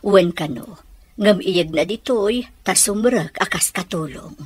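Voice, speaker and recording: A woman's voice: medium pitch, expressive, a little slow, breathy edge, dry recording. A woman playing a scheming, manipulative middle-aged mother in a radio drama, drawling insinuatingly with an Ilocano accent. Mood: conniving.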